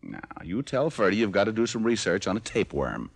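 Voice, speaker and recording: An American actor playing a flippant, wisecracking private detective with dry delivery in an old radio drama. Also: male voice, lowish voice, big pitch swings, a little fast, creaky, breathy edge, full character voice. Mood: flippant, wry. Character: wisecracking, down-on-his-luck private detective man. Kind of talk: 1940s-50s radio detective acting.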